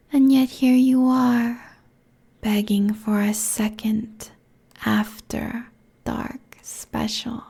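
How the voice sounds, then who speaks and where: A woman's voice: on the high side, mellow, exaggerated voice. A woman playing a seductive, cruel succubus queen in an intimate ASMR roleplay, whispering haughtily close to a binaural microphone. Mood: amused, cruel. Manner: breathy, slow, condescending whispering.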